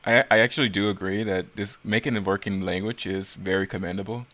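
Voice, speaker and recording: A man's voice: lowish voice, fairly even intonation, brisk, a bit bright. A man giving casual, self-critical, rambling commentary on his own creative work.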